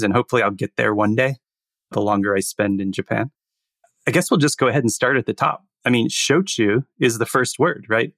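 Laid-back american guy hosting a podcast, low gravelly voice, dry close mic. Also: fairly bright tone, no echo. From United States. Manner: easygoing, laughs often. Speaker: podcast co-host. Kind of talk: casual podcast chat.

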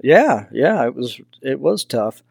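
A man's voice: medium pitch, sing-song, takes it slowly, vocal fry, a little mellow, slightly rough, dry recording, clean studio sound. An older man from Texas with a Southern accent, telling stories in a casual, folksy way as an interview guest. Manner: folksy, anecdotal. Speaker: podcast guest, hunting mentor and foundation founder.

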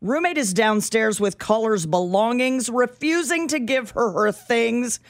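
A woman on a radio show reads out police scanner calls in a deadpan dispatcher tone. Her pitch rises and falls a lot as she moves between banter and report, and her voice sits close and dry on a studio mic. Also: a little slow, no echo. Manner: dry, matter-of-fact dispatcher delivery. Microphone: studio microphone.

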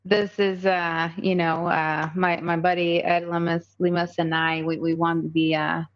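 A low-voiced Colombian woman in her thirties, a native Spanish speaker who speaks English with an accent. She talks earnestly as a podcast guest, piling up fillers and restarts. The recording sounds dull and lo-fi. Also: level tone, measured pace. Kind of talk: casual podcast conversation.

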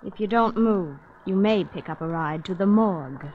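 A woman playing a cool, menacing young gunwoman in a 1940s radio drama, controlled and softly threatening. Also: medium pitch, moderate pace, narrow, tinny audio, close to an everyday voice. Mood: cool menace. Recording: noisy old radio recording.

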